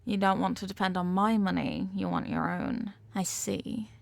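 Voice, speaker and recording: A woman's voice: mid-range voice, moderate pace, vocal fry, dry recording, close to an everyday voice. A woman acting a possessive, manipulative rich young girlfriend in an intimate audio roleplay, talking down in a coaxing, teasing way with veiled threats. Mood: condescending, controlling.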